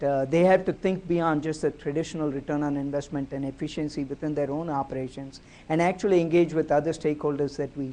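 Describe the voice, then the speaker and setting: A man giving a public talk at a university, amplified through the room's sound system, speaking haltingly with many fillers and restarts. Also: a little high, dark tone. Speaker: guest speaker at a university lecture. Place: lecture room at a university. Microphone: amplified through a room speaker system.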